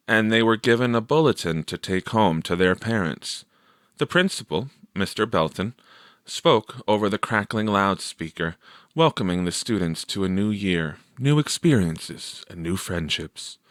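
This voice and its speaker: Low-voiced man reading a story, close and dry. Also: fairly bright tone, no echo. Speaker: audiobook reader. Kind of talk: expressive scripted narration of a short story.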